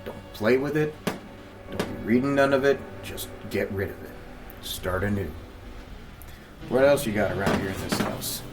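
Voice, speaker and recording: A man's voice: lowish voice, moderate pace, pauses often, music in the background, close to an everyday voice. An actor playing a domineering small-town police investigator in an audio drama, a man questioning in a blunt, folksy, probing way. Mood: stern, warning. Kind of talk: fiction podcast audio drama dialogue.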